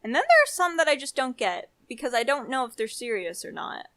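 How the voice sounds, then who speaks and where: A woman's voice: high voice, sing-song, a little fast, a bit bright. A young woman co-hosting a podcast, chatting casually and giving her opinions in an informal, rambling way. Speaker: podcast co-host. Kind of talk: casual chat.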